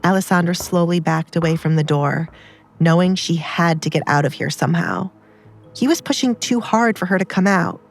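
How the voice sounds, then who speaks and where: A woman's voice: lowish voice, moderate pace, soft background music. A woman narrating an audiobook drama in a scripted storytelling style.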